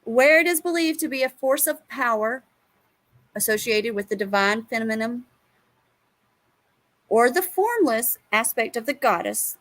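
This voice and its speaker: A woman hosts an online panel and reads a passage aloud. Her voice sits a little high, and she slows and stumbles on unfamiliar terms. It sounds like a video call. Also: fairly bright tone, low-quality recording. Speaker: show host. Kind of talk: reading aloud and hosting a panel.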